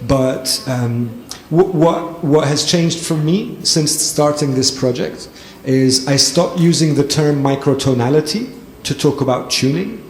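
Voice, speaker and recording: A man's voice: a little high, a little slow. Thoughtful man speaking in an echoey hall. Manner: thoughtful, with frequent ums and restarts. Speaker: lecturer and panelist. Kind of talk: conversational talk answering a question. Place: event hall with audience. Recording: echoey hall, faint noise.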